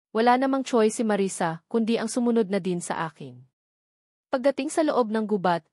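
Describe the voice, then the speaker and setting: A woman's voice: medium pitch, a little mellow, no echo, clean studio sound. A woman with a Filipino accent reading a first-person story as a scripted audiobook narration.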